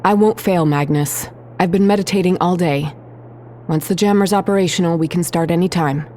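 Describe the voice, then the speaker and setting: A woman's voice: on the low side, fairly quick, warm tone, slight background noise, lightly acted. An actress playing a dutiful, self-assured woman telepath in an audio drama.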